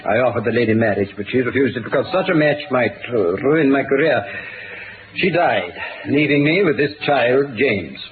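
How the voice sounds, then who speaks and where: A man's voice: medium pitch, animated, normal speed, natural voice. A man with a British accent playing a proud, troubled middle-aged Duke in a 1960s radio drama, formal and earnest. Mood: confessional, pained. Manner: formal, earnest, hesitant in places. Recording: tinny, hissy old radio recording.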